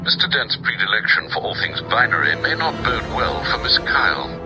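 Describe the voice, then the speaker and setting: An actor playing a loyal older butler, formal, dry and understated in a game cutscene. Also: male voice, lowish voice, sing-song, fairly quick, over loud music, low-quality recording. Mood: dry, composed. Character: older male butler, loyal, proper, dryly wry. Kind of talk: video game cutscene acting.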